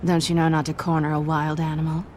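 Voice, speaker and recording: A woman's voice: low-pitched, level tone, fast, darkish tone, a little hiss or hum, close to an everyday voice. A woman playing a sly, taunting masked thief in a video game, with a cool, cocky, teasing manner. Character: sly, taunting adult female masked cat burglar.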